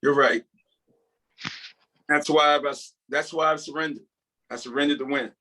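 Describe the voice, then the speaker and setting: Humble reformed prisoner, read by a man, lighter-pitched, sincere and deferential, halting script reading. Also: steady tone, pushed and bright, a little hiss or hum. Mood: reflective, remorseful. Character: repentant male prison inmate and martial arts teacher, earnest, humble. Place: video call.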